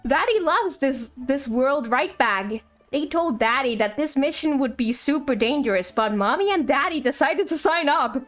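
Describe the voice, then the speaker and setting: A woman's voice: high voice, expressive, normal speed, hardly any pauses, fairly bright tone. A woman streaming a video game, chatting casually with playful asides and reading game text aloud over music.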